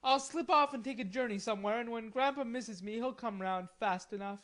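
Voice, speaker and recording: Woman doing a hot-headed young gentleman. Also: a little high, fairly quick, voice pushed up, close to an everyday voice. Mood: indignant. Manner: indignant, defiant.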